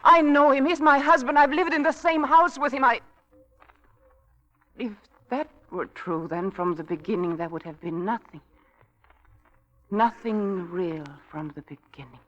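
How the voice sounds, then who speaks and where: A woman's voice: fairly high pitch, unhurried, pauses often, fairly bright tone. An actress playing a frightened, fragile wife who doubts her own sanity, in 1940s radio drama style, breathless and hesitant. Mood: anguished denial. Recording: muffled old radio recording.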